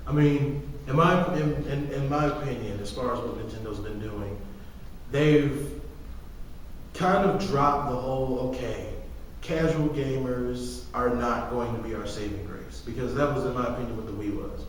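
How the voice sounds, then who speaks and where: A man with a mid-pitched voice chats as a podcast co-host, opinionated and emphatic, often throwing out rhetorical questions. He is recorded away from the mic in a very echoey space. Faint noise sits under his voice. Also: normal speed, far from the mic. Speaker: podcast co-host discussing video games. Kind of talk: casual opinionated chat.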